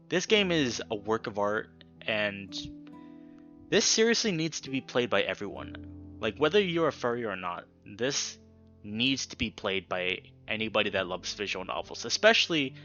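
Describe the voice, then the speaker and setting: A male let's play host talks casually and emphatically about a game, leaving dramatic pauses and swearing now and then. His voice is bright and forceful at a medium pitch. The mic sound is a little dull, with guitar music faintly behind him.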